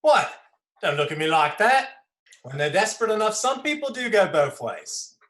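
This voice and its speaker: A man's voice: sits high, sing-song, moderate pace, a bit bright, echoey room. A man voicing Scarecrow, a crude, sardonic male junkie patient, in a dramatized script reading. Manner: sardonic, crude, joking drawl. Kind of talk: livestream play script reading.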